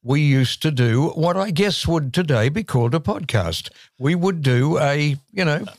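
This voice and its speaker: A man in his eighties, co-hosting a podcast and reminiscing in a slow, measured way. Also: fairly high pitch, expressive, no echo, studio quality. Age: 80s. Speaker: podcast co-host. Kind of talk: casual chat, reminiscing.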